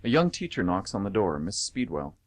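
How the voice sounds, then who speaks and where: A man's voice: low voice, very animated, brisk. A man narrating scripted stage directions for an amateur radio theatre comedy.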